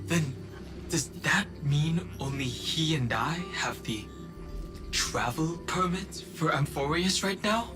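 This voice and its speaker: An actor playing a serious, loyal young man in a video game, speaking in an earnest, measured way. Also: fairly high pitch, expressive. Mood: grave, worried. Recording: loud music under the voice.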